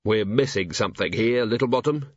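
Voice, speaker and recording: A man voicing a gruff, world-weary police commander, blunt and brooding, in an audiobook. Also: on the low side, fairly quick, dry recording, dull audio, natural voice.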